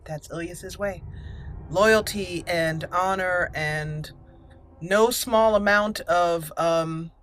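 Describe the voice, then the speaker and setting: A woman hosts a casual roleplay gaming session at an unhurried pace. She thinks aloud deliberately and reads out items from the menus. There is some background noise and game ambience behind her. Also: lowish voice, a bit bright. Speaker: gaming channel host.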